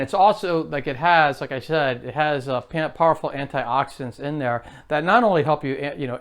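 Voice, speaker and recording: A man in his late fifties hosting his own health vlog, chatty and rambling, with lots of fillers and restarts. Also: medium pitch. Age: 50s. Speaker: health vlogger. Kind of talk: casual to-camera vlog.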